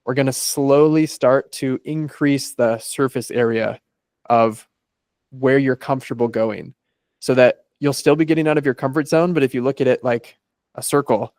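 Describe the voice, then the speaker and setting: A man's voice: mid-range voice, steady tone, moderate pace, darkish tone, no room sound, clean studio sound. A man, a life coach guest on a podcast interview, methodically laying out his process in numbered steps.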